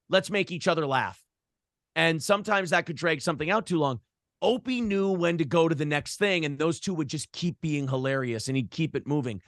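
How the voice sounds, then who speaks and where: An American man with a fairly high voice talks bluntly and casually in a podcast interview. He is opinionated and swears freely, like a seasoned radio host speaking off the cuff. The sound is dry and close. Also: a bit bright, no echo. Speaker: morning show host and former radio broadcaster.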